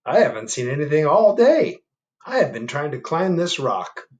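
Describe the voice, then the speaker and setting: A man's voice: fairly high pitch, big pitch swings, normal speed, darkish tone, highs cut off, pitched above the performer's own voice. A man voicing an earnest, patient little turtle in a picture book, speaking plainly. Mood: weary. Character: earnest little turtle stuck below a rock.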